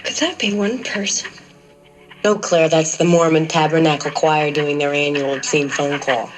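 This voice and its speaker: An actress playing a radio talk host who snaps back with sharp, scornful retorts. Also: female voice, sits low, fairly even intonation, moderate pace, over music, close to an everyday voice, poor audio.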